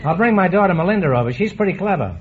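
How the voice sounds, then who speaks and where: A middle-aged man hosting a quiz show, teasing his guests with quick puns and asides before a live audience. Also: sits high, lively intonation, a loud crowd, heavy background noise. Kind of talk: wisecracking quiz show banter. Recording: dull old radio broadcast, audience behind.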